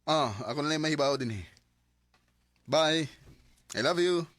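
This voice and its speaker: An actor playing an anguished young boyfriend in a radio drama, speaking emotively and colloquially with a Cebuano Filipino accent. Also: male voice, a little high, measured pace, a bit bright, no echo. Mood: casual, defensive. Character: anguished young boyfriend torn by temptation and betrayal.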